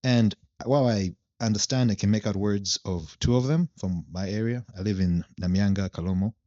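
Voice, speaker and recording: A young man from Zambia, a podcast guest, explaining his background at length in a relaxed chat. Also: fairly low pitch, normal speed, dry recording, highs cut off. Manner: relaxed storytelling, long explanations. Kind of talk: casual chat.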